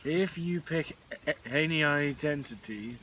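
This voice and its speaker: A man of thirty speaks slowly, musing and rambling as he thinks aloud. Clear background noise sits under his voice. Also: fairly high pitch, a bit bright. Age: 30s. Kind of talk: casual chat.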